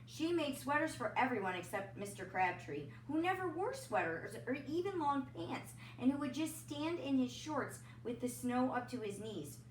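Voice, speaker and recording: A woman's voice: high voice, big pitch swings, normal speed, hardly any pauses, roomy sound, recorded from a distance, slight background noise, rough recording. A woman reading a children's picture book aloud expressively, with chatty asides. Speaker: storybook reader, teacher. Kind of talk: read-aloud children's story narration.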